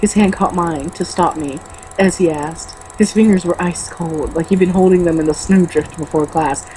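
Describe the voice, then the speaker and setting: A woman's voice: lowish voice, normal speed, dark tone, a little hiss or hum, low-quality recording. A woman reading a book aloud as a co-host, mocking it with sarcastic, exasperated comments.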